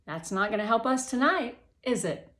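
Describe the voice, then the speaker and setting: A woman's voice: fairly high pitch, lively intonation, normal speed, bright, forceful tone. Woman as a firm, controlled mother. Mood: tight, controlled.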